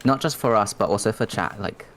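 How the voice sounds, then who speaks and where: A man's voice: fairly low pitch, brisk, noisy background. A man hosting a casual livestream, putting questions to a guest in an informal way. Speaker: host of a livestream.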